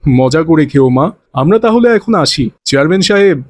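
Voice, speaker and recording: A man plays a righteous village father in a moral cartoon. His pitch is a little high for a man. He lectures in a measured, stern but forgiving manner. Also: expressive, darkish tone. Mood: admonishing.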